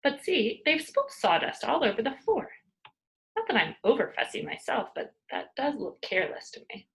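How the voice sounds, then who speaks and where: A woman plays a bold little storybook girl with a high, very animated voice. She exclaims freely and states naive ideas in a matter-of-fact, cheerfully boastful way. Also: normal speed, vocal fry, full character voice, poor audio. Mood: amazed, fussy.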